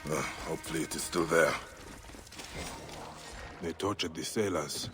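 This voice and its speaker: A man's voice: very deep voice, normal speed, music in the background. An actor playing a serious, determined male investigator in an ancient Egyptian video game, terse and dry in his questioning. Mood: brooding, investigating.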